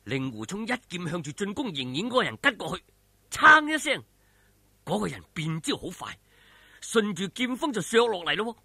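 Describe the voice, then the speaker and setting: A man's voice: high-pitched, very animated, moderate pace, vocal fry, bright, forceful tone, slightly rough, no room sound. A man with a Hong Kong Cantonese accent telling a martial arts story in a dramatic, colloquial way, with exclamations and vocal sound effects. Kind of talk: animated oral storytelling of a martial arts novel.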